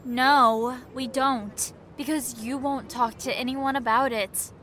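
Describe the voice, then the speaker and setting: A woman playing a concerned, gently prying friend in an audio drama. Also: high voice, steady tone, takes it slowly, slight background noise.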